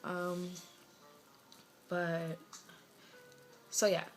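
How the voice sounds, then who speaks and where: Rambly twenty-something woman, slight west indian new york accent, lowish voice, lots of ums, talking to her camera in her bedroom. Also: completely flat delivery, very slow, faint music behind. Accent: West Indian-tinged New York. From Brooklyn, New York. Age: 20s. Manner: rambling, chatty, lots of fillers and self-corrections. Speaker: vlogger. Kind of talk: casual chat to camera. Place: bedroom at home.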